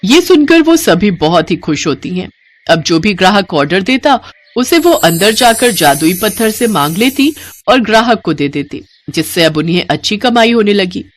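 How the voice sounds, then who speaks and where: Female storyteller: medium pitch, scripted moral-tale narration. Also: big pitch swings, moderate pace.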